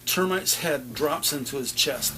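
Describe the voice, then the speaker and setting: A man's voice: mid-range voice, animated, measured pace, heavy background noise. A man narrating a scripted audio drama with detailed, descriptive storytelling.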